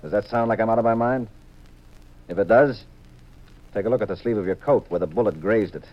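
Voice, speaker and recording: Man acting cool private eye, muffled old radio sound, lowish pitch, confident, hard-boiled, 1950s drama delivery. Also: close to an everyday voice. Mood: firm, bluffing. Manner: brisk, assured, hard-boiled. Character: cool, confident, shrewd male private detective.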